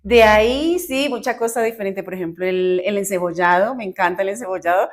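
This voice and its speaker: A woman from Bogotá with a Colombian accent chats as a podcast guest. She is animated and talkative, laughing easily and correcting herself as she goes. Her voice sits at a middle pitch. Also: a little fast. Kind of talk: casual chat.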